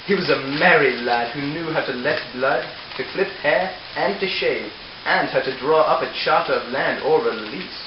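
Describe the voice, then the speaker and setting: A man's voice: fairly high pitch, expressive, normal speed, background noise. A man reading a scripted storybook narration for a puppet show. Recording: echoey, dull lo-fi room with music. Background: music.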